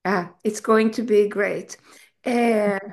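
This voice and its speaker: A woman's voice: medium pitch, steady tone, takes it slowly. A woman hosting an interview, enthusiastic and effusive in her questions. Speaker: festival host interviewer. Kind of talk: casual interview.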